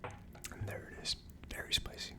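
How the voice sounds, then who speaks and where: Whispering ASMR host, barely voiced. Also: moderate pace. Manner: hushed, unvoiced whispering throughout. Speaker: host of an ASMR whiskey tasting show. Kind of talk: ASMR, casual tasting review.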